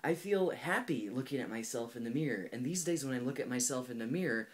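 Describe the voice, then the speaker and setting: A man's voice: medium pitch, animated, quick pace. Candid young man rambling through a vlog. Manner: thoughtful and candid, heavy use of "like" and "um". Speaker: video blogger. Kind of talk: casual personal vlog talk.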